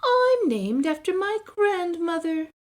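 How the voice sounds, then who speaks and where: A woman's voice: extremely high pitch, sing-song, slow, pitched above the performer's own voice, full character voice. A woman voicing a snooty schoolgirl know-it-all in a children's storybook, taunting with haughty, emphatic delivery.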